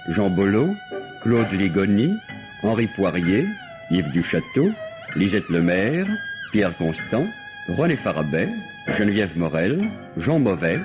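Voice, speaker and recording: Music plays clearly behind a man on a dull old radio recording. He presents like a classic drama announcer, formal and measured, with a very animated melody to his slow delivery. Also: fairly low pitch. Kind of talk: vintage radio credits announcement. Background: prominent music.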